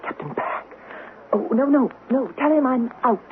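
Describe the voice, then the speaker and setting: High-voiced, timid young Englishwoman. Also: lively intonation, moderate pace. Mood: apprehensive. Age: young adult. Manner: stammering, hesitant, flustered. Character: timid young British colonel's daughter in colonial India. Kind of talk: old-time radio drama acting. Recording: tinny band-limited old radio broadcast, hiss.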